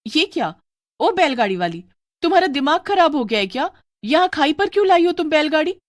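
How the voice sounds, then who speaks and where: A woman playing a bold, quick-witted young village sister-in-law in an expressive, melodramatic story style. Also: sits high, no echo, clean studio sound, voice pushed up. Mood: indignant.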